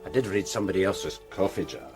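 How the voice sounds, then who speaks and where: An actor playing a tired, middle-aged detective in a radio drama, speaking in a low-key, wry way. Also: male voice, animated, fast, soft background music. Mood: weary.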